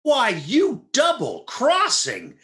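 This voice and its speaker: A man's voice: very high voice, huge pitch swings, slow, background noise, full character voice. A man playing a jealous, put-upon and sarcastic husband in a re-created 1940s radio comedy. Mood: irritable. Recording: roomy, echoey stage-like sound.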